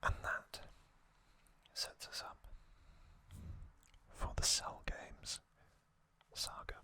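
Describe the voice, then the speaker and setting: An ASMR host whispering in a breathy, unvoiced voice, with hushed, deliberate phrasing and long pauses. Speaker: host of an ASMR trivia channel. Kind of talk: ASMR, whispered reading and commentary.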